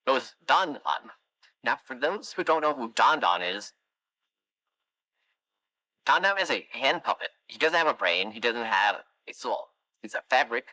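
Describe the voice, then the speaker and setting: A man's voice: mid-range voice, sharp tone, dry recording, poor audio. A man voicing a chatty animatronic who tells long, wandering stories in a game. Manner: long-winded, wandering anecdotes, dry musings. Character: chatty rambling animatronic, wistful storyteller. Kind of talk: fan game voice lines.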